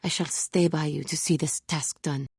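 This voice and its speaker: A proud, troubled female warrior from a fantasy video game. Her voice is on the low side and very husky, with little room sound. She speaks formally and solemnly, as someone burdened by guilt. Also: fairly even intonation, darkish tone, no echo, studio quality, heavily acted. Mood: solemn, earnest. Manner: formal, solemn, deliberate phrasing without contractions. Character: proud, tormented, repentant female fallen Jedi warrior. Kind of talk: dramatic video game character dialogue.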